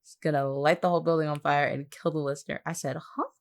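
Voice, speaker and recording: A woman co-hosting a casual podcast-style chat, with a teasing, understated deadpan way of talking. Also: low voice, fast talker. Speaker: podcast co-host, voice actor.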